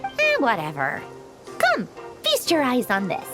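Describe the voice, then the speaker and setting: A woman's voice: on the high side, huge pitch swings, measured pace, loud music behind the voice. A woman voicing a haughty young genius inventor in a video game, smug, theatrical and impatient. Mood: smug, boastful.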